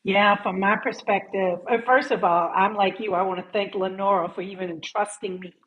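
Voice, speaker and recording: A woman guest on a video call describing a stage play, hesitant and measured, with many pauses and ums. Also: mid-range voice, fairly even intonation, muffled recording. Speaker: guest, playwright's assistant. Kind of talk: casual interview answers.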